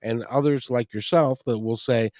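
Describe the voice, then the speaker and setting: A man's voice: medium pitch, moderate pace, darkish tone, no room sound. Male podcast host, dry close sound. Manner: rambling questions with stammers and restarts. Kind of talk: podcast interview.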